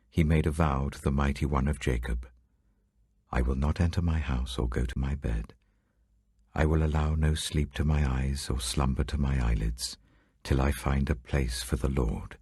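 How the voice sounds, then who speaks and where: An older man reading scripture aloud in a measured, solemn audiobook style, lifting his voice for quoted proclamations, recorded close in a studio. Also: a bass voice, gravelly, mellow, breathy edge, no room sound. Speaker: Bible reader.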